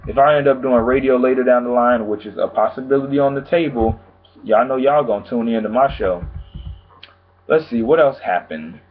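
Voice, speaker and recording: A man vlogging about TV news in a casual, chatty way, calm and low-key, with lots of filler phrases. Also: moderate pace. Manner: chatty, frequent "pretty much" and "you know what I'm saying". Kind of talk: casual chat to camera. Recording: tinny phone-like sound.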